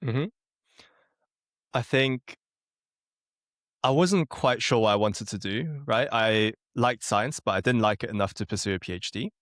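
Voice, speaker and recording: A man in his late twenties from Germany, a podcast guest talking in a relaxed, self-deprecating way with a chuckle now and then. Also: mid-range voice, unhurried, pauses often, no echo, clean studio sound. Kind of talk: casual interview chat.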